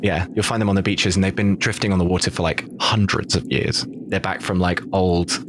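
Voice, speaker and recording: Animated low-voiced man, noisy background. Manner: animated, quick, laughs and swears. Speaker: player. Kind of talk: casual tabletop play.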